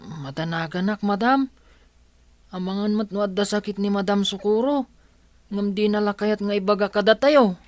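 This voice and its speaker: A woman's voice: medium pitch, normal speed, no room sound, lightly acted. A woman playing a caring, anxious young student who speaks politely in an audio drama. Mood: worried. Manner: polite, solicitous.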